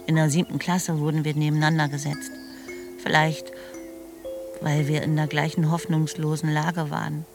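A woman's voice: low voice, little pitch movement, normal speed, gravelly. A woman playing a reflective first-person narrator in a German radio drama, recalling school days in a plain, understated way. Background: music.

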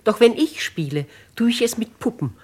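A woman's voice: on the high side, expressive, moderate pace, a little mellow, breathy edge. A woman playing a dignified, bold older noblewoman writer in a 1950s German radio drama, with theatrical, declamatory phrasing. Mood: challenging.

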